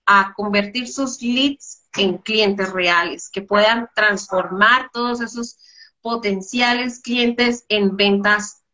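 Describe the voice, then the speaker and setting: A woman guest on a podcast interview who talks in a lively, casual way and laughs easily. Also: mid-range voice. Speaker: guest, business consultant. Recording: dull lo-fi sound.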